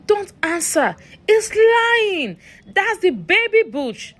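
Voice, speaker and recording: A woman's voice: extremely high pitch, sing-song, slow pace, fairly bright tone, pitched above the performer's own voice, full character voice. A woman voicing a frightened schoolgirl who gives urgent, grave warnings in a horror folktale. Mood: alarmed.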